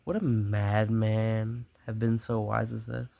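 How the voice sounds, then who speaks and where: A man playing a gloating, obsessive madman in a horror story, with a gravelly voice and theatrical, drawn-out emphasis. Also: on the low side, level tone, narrow, tinny audio. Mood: sly gloating. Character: gloating, obsessive madman confessing his murderous stalking.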